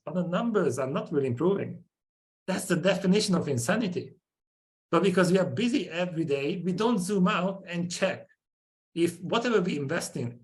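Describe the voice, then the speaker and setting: A Hungarian-accented man in his forties presents a business webinar. His voice sits on the higher side for a man. He speaks in short, punchy sentences and often asks rhetorical questions.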